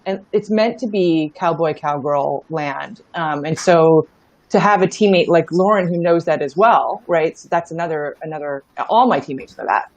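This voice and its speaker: A woman's voice: low-pitched, moderate pace, no echo, dull audio. A middle-aged woman talking casually as an interview guest, telling stories in a candid, emphatic way and often checking in with the listener. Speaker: interview guest, startup founder. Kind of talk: casual conversational storytelling.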